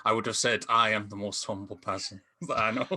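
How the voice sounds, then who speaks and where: A man's voice: lowish voice, fairly even intonation, a little fast, bright, forceful tone, slightly rough. A man in casual film discussion as a podcast co-host, often chuckling as he talks. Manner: chuckles often while talking.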